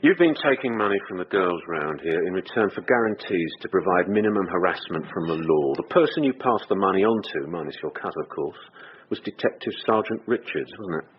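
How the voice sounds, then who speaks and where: A British detective with cool, mocking politeness in a radio drama. His voice is lowish and very varied in pitch, with faint noise behind it. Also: lively intonation, a little fast, dull audio. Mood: sardonic, probing. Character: cool, sardonically polite male police detective interrogating suspects.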